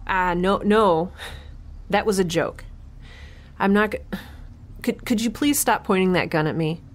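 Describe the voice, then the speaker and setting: A woman playing a wry, frazzled young scientist in an audio drama, chatty and sardonic with halting moments. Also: mid-range voice, close to an everyday voice. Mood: nervous. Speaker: lead actor and creator of the podcast. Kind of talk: first-person audio drama, spoken log.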